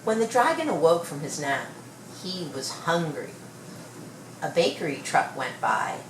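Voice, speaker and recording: Low-voiced woman reading a storybook, roomy. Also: sing-song, measured pace. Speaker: storybook reader. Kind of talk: read-aloud children's story narration. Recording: roomy, distant mic, faint noise.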